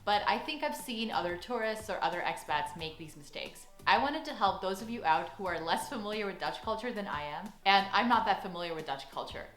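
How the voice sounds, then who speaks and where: A woman's voice: medium pitch, a bit bright. Woman giving travel tips, earnest, echoey with faint music underneath. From United States. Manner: friendly, earnest, advising. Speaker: vlogger. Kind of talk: scripted vlog talk. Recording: echoey room with background music.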